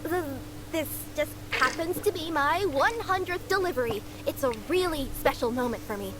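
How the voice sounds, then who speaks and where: A woman's voice: high-pitched, expressive, moderate pace. A woman voicing a young, polite, easily flustered cat-spirit courier girl in a video game, earnest and prone to stammering. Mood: nervous, flustered. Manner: earnest, stammering when nervous. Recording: game capture with clear background noise.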